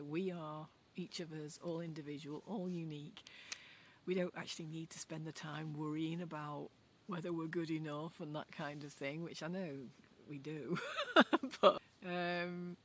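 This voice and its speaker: A woman's voice: low voice, a little hiss or hum. A middle-aged woman with a Yorkshire accent, chatting casually and reflectively to camera outdoors in a forest garden, musing aloud with loose, rambling hesitations. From Yorkshire, England. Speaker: vlogger. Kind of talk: casual unscripted talk to camera.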